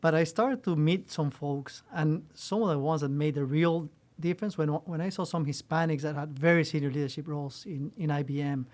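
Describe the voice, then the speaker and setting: Costa Rican man, earnest, pitched a bit high. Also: fairly quick, few pauses, darkish tone. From Costa Rica. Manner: earnest, loose with restarts and "right?". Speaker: supply chain executive. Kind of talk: interview answers.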